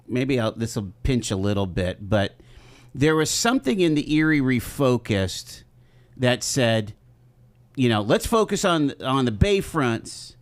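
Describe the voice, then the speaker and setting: A man's voice: mid-range voice, sing-song, unhurried, no echo. A man hosting a live talk show, interviewing guests in a studio. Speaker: radio talk show host. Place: radio studio.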